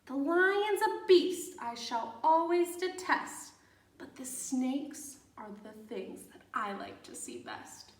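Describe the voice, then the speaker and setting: A woman's voice: high-pitched, sing-song, slow, exaggerated voice. A woman voicing an opinionated male zoo visitor in a children's storybook, making emphatic pronouncements. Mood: disdainful. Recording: echoey room, distant microphone.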